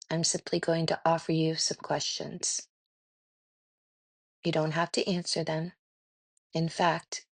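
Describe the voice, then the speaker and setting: Low-voiced woman guiding a meditation. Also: no echo. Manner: gentle, soothing, unhurried with pauses. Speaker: guided meditation host. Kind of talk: guided meditation narration.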